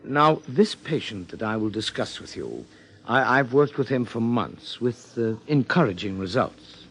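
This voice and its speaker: An actor playing an earnest, hesitant psychiatrist in a 1950s radio drama, with formal, halting phrasing. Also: male voice, mid-range voice, expressive, a bit bright, breathy edge, exaggerated voice. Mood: hesitant, careful.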